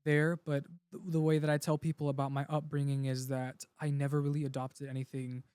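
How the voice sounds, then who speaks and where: A young man from Puerto Rico, a native Spanish speaker, talks earnestly as a guest in an interview. His voice sits a bit high for a man. He restarts his sentences often, and the sound is dry and close, with no room echo. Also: monotone, brisk, darkish tone, smooth. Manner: earnest, heartfelt, with frequent restarts. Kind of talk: casual interview conversation.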